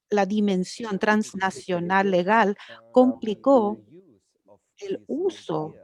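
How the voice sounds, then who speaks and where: A woman interpreting an academic lecture live, halting and correcting herself as she goes. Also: medium pitch. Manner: halting, with frequent restarts and self-corrections. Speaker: conference interpreter.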